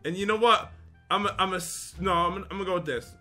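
Woman w a bright sharp voice, grumpy about losing a card game, faint music behind. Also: fairly low pitch, quick pace. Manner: complaining, exasperated. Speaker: gaming video guest. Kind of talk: casual gameplay chat.

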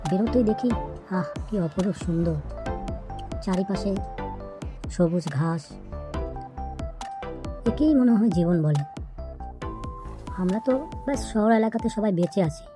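A woman's voice: mid-range voice, animated, measured pace, long pauses. A woman reading a scripted first-person story as its narrator. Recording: music behind the voice.

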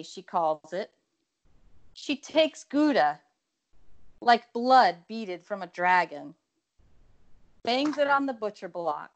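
A middle-aged woman poet introducing and reading her own work over a video call. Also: medium pitch. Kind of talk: casual introduction and theatrical poetry reading. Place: indoor room with bookshelves.

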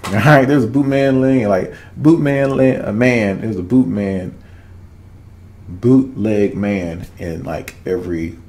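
Male reaction host, 30s, Southern US accent. Fairly deep voice, casual and conversational, leans on repetition to make points. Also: darkish tone. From Arkansas, United States. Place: small home room with cloth-draped backdrop. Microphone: desk condenser microphone, headphones on.

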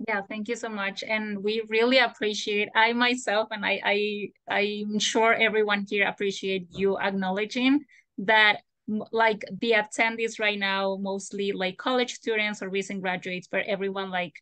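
A young woman moderates a webinar in a quiet, measured voice of middling pitch. Her webcam sound is dry and close, with no room in it. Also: flat delivery, dry recording. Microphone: video call webcam.

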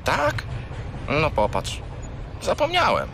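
Cheeky small furry chatterbox for children's audio drama: whiny, rambling, very animated, sharp-edged. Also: male voice, fairly high pitch, slow, creaky, a little hiss or hum, exaggerated voice. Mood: naive, rambling. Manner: rambling, naively evasive, whiny. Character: naughty, chatty, cheeky little furry toy companion. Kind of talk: children's radio play acting.

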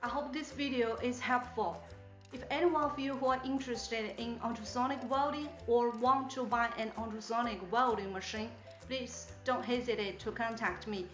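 A woman's voice: fairly high pitch, steady tone, normal speed, fairly bright tone, soft background music. A woman in her thirties with a Chinese accent, presenting a factory walkthrough in careful, slightly non-native English. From China. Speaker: channel host, mold company representative. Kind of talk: scripted factory walkthrough narration. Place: indoors, plain bright backdrop. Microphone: front-facing camera, medium close framing.

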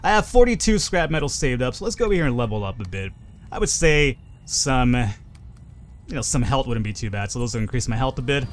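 Lively rambling male gameplay commentator. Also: medium pitch, brisk, a little hiss or hum. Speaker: gaming channel host.

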